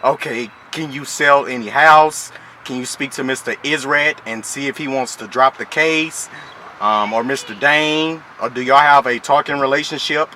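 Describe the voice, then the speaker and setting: A man's voice: medium pitch, moderate pace, pushed and bright, natural voice. A man playing a stern, threatening child support official on a prank phone call, pushy and formally polite. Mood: stern, pressing. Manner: pushy, mock-official, repeatedly polite "ma'am". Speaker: prank caller. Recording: phone call with faint noise.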